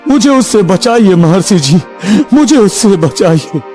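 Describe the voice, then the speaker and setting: Casting: male actor for ailing cartoon king, easily alarmed, dramatic and unhurried, very lively intonation. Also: high voice, soft background music. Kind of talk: Hindi fairy tale cartoon dubbing.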